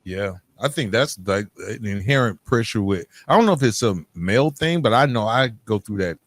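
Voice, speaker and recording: Male podcast host, lowish voice, close dry sound, informal and agreeable interviewer. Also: animated, moderate pace, no room sound. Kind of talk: casual interview.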